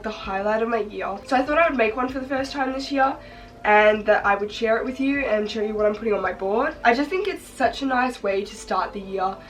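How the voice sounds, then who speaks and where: A teenage girl, her voice hoarse and congested, chatting upbeat with lots of "like" fillers as she makes a craft project on her bed. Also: on the high side, recorded from a distance, poor audio. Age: teens. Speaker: vlogger. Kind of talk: casual chat while crafting. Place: bedroom, sitting on her bed.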